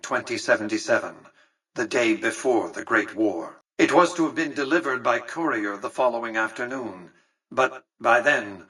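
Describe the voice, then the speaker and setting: An actor playing a calculating, condescending old tycoon in a video game, speaking in formal, precise, pompous sentences. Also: male voice, medium pitch, sharp tone, breathy edge, distant mic, poor audio. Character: imperious, calculating old male tycoon ruling a city. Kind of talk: scripted video game dialogue.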